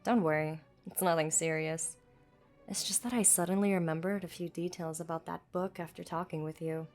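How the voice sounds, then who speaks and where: A woman's voice: fairly low pitch, fairly even intonation, a little fast, a little mellow, soft background music, lightly acted. A woman voicing a frank, casual desert mercenary in an amateur game dub, explaining things in a conversational, reflective way. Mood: reflective, candid.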